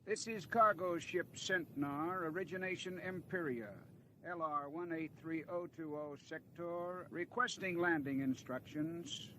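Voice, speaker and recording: A man's voice: a little high, measured pace, bright, forceful tone, slight background noise, low-quality recording. A man playing a dutiful spaceship captain in an audio drama, speaking in a clipped, formal, deferential way. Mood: businesslike.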